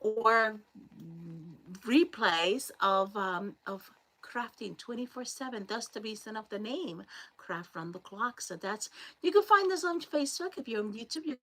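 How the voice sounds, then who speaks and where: A woman's voice: on the high side, expressive, measured pace. A woman from Colombia with a Colombian Spanish accent, hosting a live crafting stream and chatting with viewers as they join. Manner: chatty, greets viewers by name, warm thanks. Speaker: crafting livestream host.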